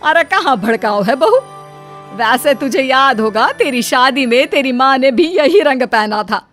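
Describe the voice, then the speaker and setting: High-pitched indignant older mother-in-law acted by a woman. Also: expressive, measured pace, fairly bright tone, faint music behind. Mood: puzzled, defensive. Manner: retorting, exasperated. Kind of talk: cartoon family drama acting.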